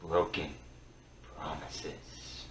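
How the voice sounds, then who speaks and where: Very slow, deep-voiced man, dramatic reading. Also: little pitch movement. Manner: solemn and theatrical, with halting phrases and swings from hushed to booming. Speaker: storyteller reciting Norse myth. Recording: roomy, distant mic with faint noise.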